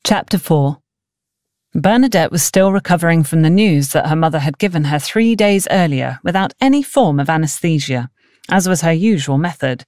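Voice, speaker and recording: A woman's voice: deep voice, animated, normal speed, a little mellow, dry recording. Dry-sounding woman narrating an audiobook. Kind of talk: scripted story narration.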